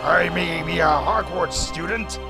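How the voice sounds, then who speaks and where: A man's voice: a little high, gravelly. A man voicing a bombastic, indignant young giant of a wizard, grandiose and dramatic. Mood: defiant. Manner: grandiose, halting for dramatic effect. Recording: music behind the voice.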